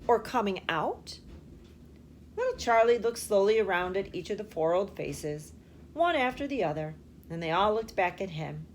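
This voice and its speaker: A woman's voice: medium pitch, animated, moderate pace, a little hiss or hum. A woman teacher reading a storybook aloud expressively, speaking directly to children and asking them questions.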